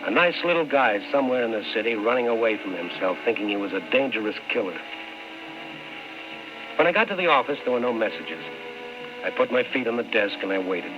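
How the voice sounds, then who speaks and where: A man's voice: mid-range voice, close to an everyday voice. An actor playing a world-weary newspaper columnist in old-time radio drama style, wry and clipped as he tells his story. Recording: muffled vintage radio recording with music.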